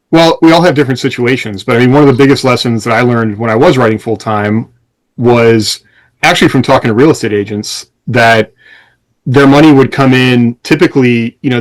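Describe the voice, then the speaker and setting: A man's voice: medium pitch, rough recording. A man co-hosting a podcast, talking in a casual, rambling, explanatory way among friends. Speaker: podcast co-host. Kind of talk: casual conversation among co-hosts.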